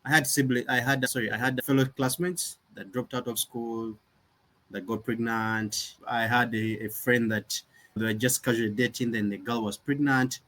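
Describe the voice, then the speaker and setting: A man's voice: medium pitch, fairly even intonation, normal speed, bright, forceful tone, low-quality recording. A young man from Uganda, a nonprofit founder talking earnestly and reflectively in an interview, often restarting his sentences. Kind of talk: conversational interview.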